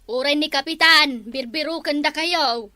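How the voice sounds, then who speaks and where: A woman's voice: high voice, measured pace, pushed and bright, no echo. A woman playing an insistent, demanding villager in an Ilocano comedy drama.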